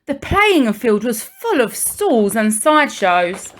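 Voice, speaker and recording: A woman with a fairly high-pitched voice reads a children's story aloud. She sounds like a primary school teacher at story time, placing deliberate pauses and expressive emphasis for young listeners.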